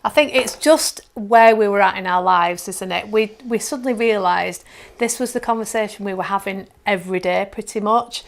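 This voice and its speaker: A woman in her fifties talks casually on a live stream as co-author and workshop host. Her mid-pitched voice has a creaky edge. She speaks conversationally and readily agrees with her co-host. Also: normal speed. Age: 50s.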